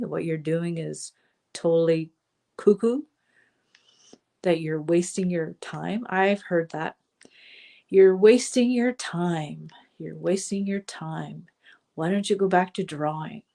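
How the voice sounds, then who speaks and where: A woman coaching viewers in a casual live stream, chatty, with many fillers, and slightly hoarse from a cold. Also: fairly low pitch, dark tone. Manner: chatty, with frequent fillers and asides.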